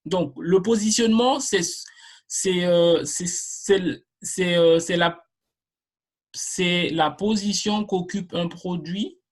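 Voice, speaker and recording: A marketing trainer giving a live online class, explaining basics step by step to viewers, often restarting sentences and pausing on filler sounds. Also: medium pitch. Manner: addresses viewers directly and explains points step by step.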